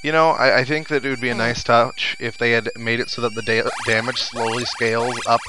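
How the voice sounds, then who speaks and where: A man's voice: medium pitch, little pitch movement, fairly quick, bright, forceful tone, slight background noise. A man giving casual let's play commentary, thinking aloud to his viewers as he plays. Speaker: gaming video host. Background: video game music and sound effects.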